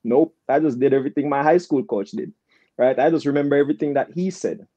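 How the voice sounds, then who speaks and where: A man's voice: mid-range voice, fast, warm tone, no room sound, highs cut off. A man from Jamaica with a Jamaican accent, a guest coach telling casual anecdotes with quoted dialogue and frequent "right?" tags. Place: video call.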